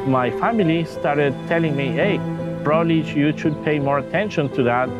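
A man in his sixties with a Mexican accent, a native Spanish speaker, telling his story as a patient in a documentary interview in measured, halting phrases. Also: fairly high pitch, dull audio, loud music behind the voice. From northern Mexico.

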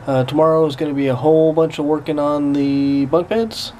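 A man's voice: a little high, brisk. A man in his forties chatting casually to a handheld camera held at arm's length while he walks outdoors. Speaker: vlogger. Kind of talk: casual vlog narration. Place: outdoors on a hiking trail and in a parking lot. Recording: outdoor camera audio with steady background noise.

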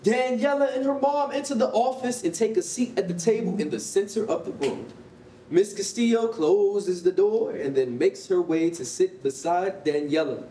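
A woman's voice: normal speed, dark tone. A woman narrating a staged reading as scripted stage directions. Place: concrete rehearsal hall, live staged reading. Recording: roomy, distant mic, noisy rumble.

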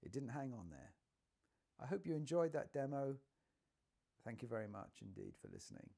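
A man's voice: mid-range voice, huge pitch swings, normal speed, gravelly, warm tone, husky. A man in his sixties presenting an informal demonstration, speaking directly to the viewer like a showman into a lapel mic. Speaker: presenter, technical director of a loudspeaker company. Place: large attic listening room indoors. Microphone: lavalier.

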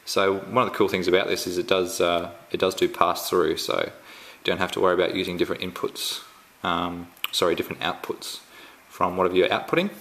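An Australian man giving a casual unboxing walkthrough at home, halting and full of ums and restarts. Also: low-pitched, little pitch movement, fairly bright tone. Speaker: tech reviewer. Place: indoors at home, filming the box up close.

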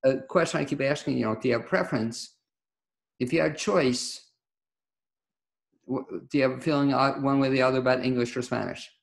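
Older man, radio host, gravelly, meandering friendly questions, webcam audio. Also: medium pitch, a little fast, long pauses. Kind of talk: casual interview over video call. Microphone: webcam on video call.

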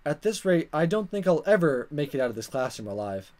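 A man reading a visual novel aloud as a let's player, in expressive narration with casual asides and stumbles that he corrects. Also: on the high side, fairly quick, a little hiss or hum. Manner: animated, stumbles over words and corrects himself.

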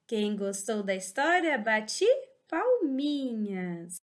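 A Brazilian woman teacher reads a children's picture book aloud in a sing-song, exaggerated way. Her voice sits high and swoops widely. She takes her time over every line. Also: very animated, slow. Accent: Brazilian Portuguese. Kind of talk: animated storybook reading for young children.

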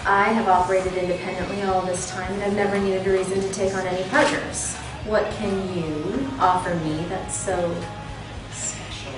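An actress reading a poised, guarded, independent madam at a TV drama table read. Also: female voice, medium pitch, fairly even intonation, normal speed, close to an everyday voice. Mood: defiant. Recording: echoey room, distant mic, music behind.

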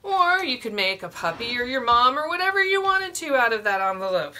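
A woman's voice: a little high, sing-song, fairly quick, bright, forceful tone. A woman in her forties hosting a children's storytime, explaining a simple craft step by step from a small room. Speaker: librarian, storytime host.

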